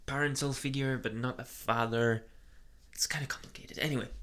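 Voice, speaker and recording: Man playing a soft-spoken, flustered, slow-talking young vampire. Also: mid-range voice. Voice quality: soft, breathy at times. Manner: hesitant, stammering, nervous giggles and sighs. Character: shy, flustered young male vampire, sweet and nervous boyfriend. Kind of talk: soft-spoken ASMR boyfriend roleplay.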